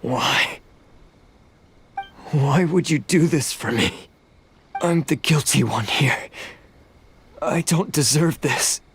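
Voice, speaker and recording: A man's voice: fairly high pitch, slow, long pauses, a bit bright, slight background noise. A man voicing a guilt-ridden oni outcast in a video game, with an earnest, troubled manner. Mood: remorseful. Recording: music under the voice.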